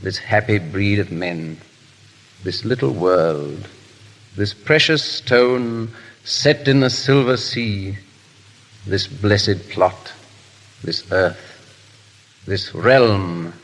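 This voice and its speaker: A man's voice: lowish voice, very slow, pauses often, a bit bright, exaggerated voice. A man acting a suave, foppish English aristocrat hero in a 1930s radio drama, drawling and theatrically polite. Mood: tender, solemn. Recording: reverberant 1930s radio broadcast.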